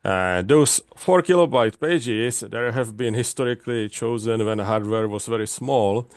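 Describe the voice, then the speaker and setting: A middle-aged man with a Czech accent gives a technical presentation online, speaking haltingly with frequent pauses and repeated words. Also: mid-range voice, dry recording, studio quality. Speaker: conference speaker, database specialist. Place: indoors, online video call.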